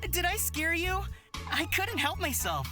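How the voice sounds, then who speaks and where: A woman's voice: extremely high pitch, very animated, unhurried, music in the background, heavily acted. A woman playing a playful, teasing character in a fantasy visual novel. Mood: playful, apologetic. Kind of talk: game character dialogue.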